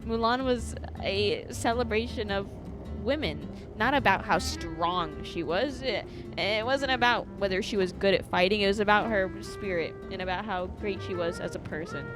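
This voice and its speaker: A woman's voice: mid-range voice, moderate pace. A chatty, opinionated woman doing casual, sweary commentary while live streaming a game. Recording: game music behind the voice.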